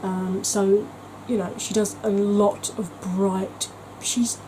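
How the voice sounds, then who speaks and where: A woman's voice: mid-range voice, level tone, slow pace, warm tone, a little hiss or hum, low-quality recording. A woman talking in a chatty, gushing way as a beauty vlogger, with frequent ums. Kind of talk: casual vlog chat.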